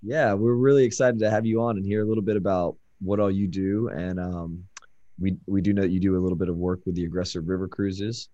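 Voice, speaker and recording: A man's voice: low voice, fairly even intonation, a little fast, mellow, no echo. A man hosting an interview over a call, friendly and hesitant, with many fillers. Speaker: interviewer host. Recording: dry muffled call audio.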